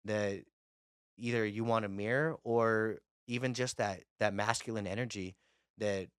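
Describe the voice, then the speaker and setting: A man's voice: fairly low pitch, fairly even intonation, a little slow, clean tone, no echo, clean studio sound. A man hosting a podcast interview, chatting in a laid-back way with casual slang. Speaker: podcast host.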